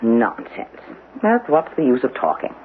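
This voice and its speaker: An actress playing a blunt, scolding but caring niece in an old-fashioned radio drama. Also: female voice, very deep voice, sing-song, measured pace, heavily acted. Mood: brisk, wry. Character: brisk, sharp-tongued niece, exasperated but caring. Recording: tinny noisy old radio broadcast.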